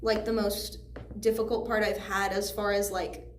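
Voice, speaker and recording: A woman's voice: medium pitch, flat delivery, normal speed. Young woman in an echoey room. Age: teens, high school student. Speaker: student actor being interviewed. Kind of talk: casual interview answers. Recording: roomy echo, faint background noise.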